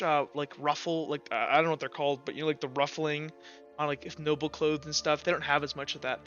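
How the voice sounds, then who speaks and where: A man's voice: on the high side, pushed and bright. A man improvising narration as game master of a tabletop roleplaying game, casual and hesitant, with lots of filler words. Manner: casual, hesitant, loosely improvised delivery with frequent "like" and "kinda". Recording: dull lo-fi audio with music behind. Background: background music.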